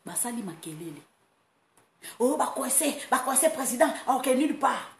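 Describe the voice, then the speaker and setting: Animated woman in an echoey room. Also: on the high side, normal speed. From France. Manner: animated, laughing, breaking into playful chants. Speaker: online talk host. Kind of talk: casual monologue, mixing Lingala and French. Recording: roomy echo, faint background noise.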